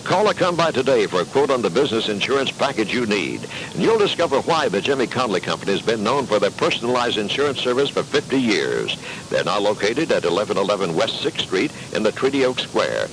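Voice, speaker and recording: Lively American radio ad man, clear tape noise. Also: lowish voice, fairly quick. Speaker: local radio advertisement announcer. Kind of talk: scripted 1980s radio ad read. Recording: noisy cassette of 1980s radio.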